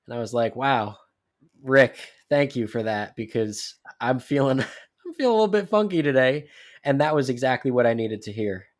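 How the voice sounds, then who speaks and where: A man co-hosting a casual podcast, congested, talking in an animated, joking way. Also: mid-range voice, moderate pace. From New Jersey. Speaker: podcast co-host.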